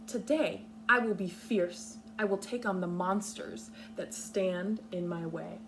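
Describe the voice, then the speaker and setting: A woman leading a read-aloud lesson for young children, speaking patiently in simple, deliberate, instructional phrases. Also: on the low side, background noise. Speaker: kindergarten teacher reading a picture book aloud.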